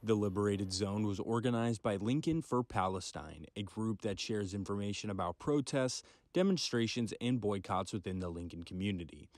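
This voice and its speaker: Young male student reporter, low voice. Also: moderate pace, hardly any pauses, darkish tone, no echo, clean studio sound. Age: young adult. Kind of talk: news package narration.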